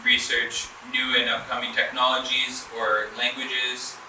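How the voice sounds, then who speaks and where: A young man with a Southern accent speaks at an event, his tone very bright and forward, sprinkling his talk with filler sounds. He is heard from a distance in a very echoey hall with faint background noise. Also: mid-range voice, a little slow. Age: 20s. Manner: relaxed, many filler uhs, loses his thread. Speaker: student speaker at an education fair. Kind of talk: presentation to an audience. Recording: echoey room from far off.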